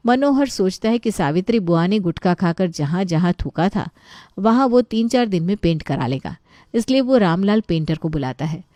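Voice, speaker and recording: A woman's voice: fairly low pitch, animated, moderate pace, warm tone, no echo. A woman narrating a scripted story as a storyteller.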